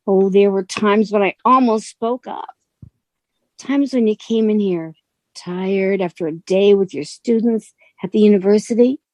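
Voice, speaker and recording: An actress playing a gentle, lonely bookshop woman, earnest and shyly admiring, in a radio suspense drama. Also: mid-range voice, a little slow, dry recording, lo-fi sound, lightly acted. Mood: wistful, tender. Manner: soft-spoken, earnest, flattering.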